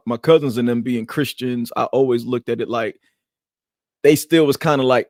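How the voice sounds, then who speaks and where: A man co-hosting a podcast talks loosely and reflectively, circling back and restarting his thoughts. His voice sits at a middling pitch. The room sounds dry and close. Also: a little mellow, no echo. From Queens, New York. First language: Arabic. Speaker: podcast co-host. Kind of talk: casual podcast conversation.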